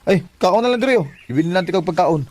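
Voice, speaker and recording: An actor with a Cebuano Filipino accent playing a friendly, easygoing, teasing co-worker in a Bisaya radio drama. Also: male voice, sits high, expressive, a little fast, darkish tone, a little hiss or hum. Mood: friendly, consoling.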